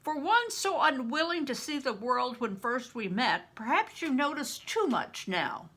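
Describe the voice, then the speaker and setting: A big, confident man from a storybook, played by a woman reading aloud. The delivery is forceful and commanding with a gruff fondness, and the voice has a husky edge. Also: high voice, sing-song, a little slow, pitched above the performer's own voice, heavily acted. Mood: amused, fond. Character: big, confident, gruffly fond grown man, protective mentor.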